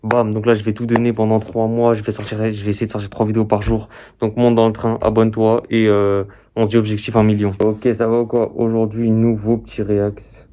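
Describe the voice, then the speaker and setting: A man's voice: lowish voice, completely flat delivery, brisk, dark tone. A young man hosting a reaction video in casual, slangy, informal chat. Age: young adult.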